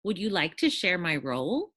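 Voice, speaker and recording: Woman as a lively, gentle young storybook knight. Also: lowish voice, measured pace, no echo, studio quality, full character voice. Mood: cheerful, persuasive. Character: gentle, bookish young male knight, kind and polite. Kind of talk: children's storybook read-aloud.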